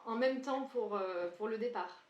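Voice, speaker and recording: A woman hosting a podcast, her voice on the higher side and bouncing around an echoey room. She opens with a smooth, polished welcome, then asks her guest questions politely, with some hesitation. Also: unhurried, highs cut off. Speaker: podcast host, sales trainer.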